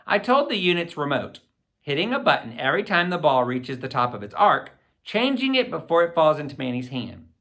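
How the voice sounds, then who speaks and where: A man narrates a children's audiobook with boyish expression. His voice is bright and forward, moving freely up and down as he tells the story in the first person. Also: a little high, big pitch swings, fairly quick. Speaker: audiobook reader.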